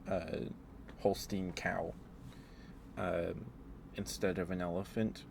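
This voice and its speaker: A man with a very low voice talks in a rambling ASMR style, as a livestreamer would. He muses aloud in a halting way, with faint steady noise behind him. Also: vocal fry. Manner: halting, musing, thinking aloud.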